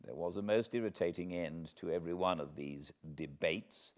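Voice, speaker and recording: A British man reading a classic novel aloud as an audiobook narrator, with expressive, deliberate phrasing. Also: low-pitched. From Britain. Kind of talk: dramatic literary storytelling, read aloud.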